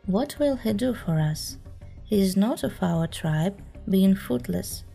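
Woman reader as a scolding male panther, dark-toned and deliberate. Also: medium pitch, lively intonation, vocal fry, soft background music. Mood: impatient. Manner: scolding, impatient.

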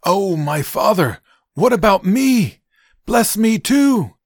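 Man acting a wronged, pleading son, pitched high. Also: sing-song, takes it slowly, dry recording, clean studio sound, exaggerated voice. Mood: anguished pleading. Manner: pleading, emotional, dramatic. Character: wronged firstborn son, hunter, passionate and bitter.

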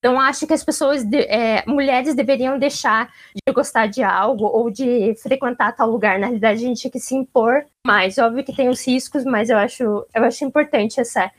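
A woman's voice: a little high, moderate pace. A woman from southern Brazil with a southern Brazilian accent, speaking casually and reflectively as a panel guest. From Curitiba, southern Brazil.

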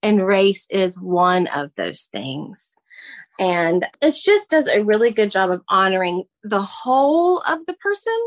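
A woman in her thirties, a former teacher and mother, answering interview questions in an earnest, animated way. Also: medium pitch, unhurried. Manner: earnest and animated, warm emphasis on key phrases. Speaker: guest, former teacher and mom.

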